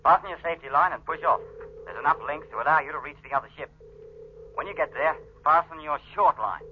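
A man acting a brisk, practical spaceship chief engineer who is quick to snap, in 1950s British radio drama style. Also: fairly high pitch, natural voice. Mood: careful, firm. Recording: dull, noisy 1950s radio recording.

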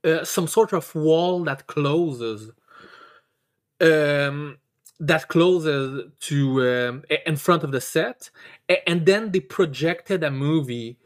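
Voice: mid-range voice, takes it slowly. A podcast host chatting casually and enthusiastically, stammering and repeating words, with emphatic swearing. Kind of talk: casual chat, enthusiastic review.

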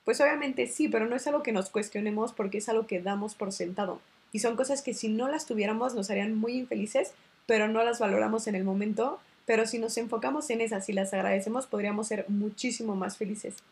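A woman's voice: a little high, a little fast, darkish tone. Woman hosting a reflective podcast chat. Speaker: podcast host. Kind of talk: casual interview.

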